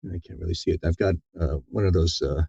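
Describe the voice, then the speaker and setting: A man's voice: deep voice, steady tone, quick pace, vocal fry, mellow, slightly rough, dry recording, dull audio. A man being interviewed as an audiobook narrator, talking casually and thoughtfully, often repeating words as he feels his way along.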